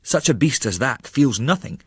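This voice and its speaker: A man's voice: mid-range voice, big pitch swings, normal speed, slightly rough, no room sound, studio quality. A man voicing a mild, friendly old French innkeeper in a storybook, courteous and plain-spoken. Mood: helpful, emphatic.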